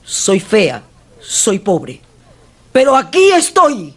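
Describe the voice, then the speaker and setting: A performer playing a defiant poor woman in a declamatory, drawn-out dramatic style. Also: mid-range voice, wildly swooping pitch, slightly rough, full character voice. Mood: defiant, anguished. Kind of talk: dramatic acting.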